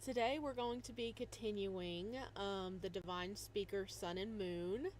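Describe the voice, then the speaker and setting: Giggly woman streamer with a slow, easy pace. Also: mid-range voice, a little mellow, slight background noise. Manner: bubbly, giggly, greets chatters by name, hums to herself. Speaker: streamer playing a visual novel. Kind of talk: casual live stream chat.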